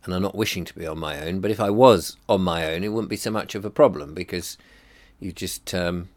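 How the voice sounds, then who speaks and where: A man's voice: low voice, a little fast, darkish tone, dry recording. A middle-aged man with a blocked-up nose, musing hesitantly to camera with many ums and restarts. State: congested, bunged up. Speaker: vlogger. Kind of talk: rambling personal reflection to camera.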